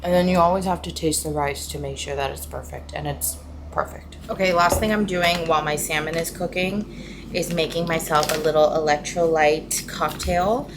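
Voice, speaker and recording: A woman vlogger with an American accent, chatting casually in her home kitchen in a sleepy, relaxed voice. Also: sits low, moderate pace, noisy background. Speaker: lifestyle vlogger. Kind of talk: casual vlog chat.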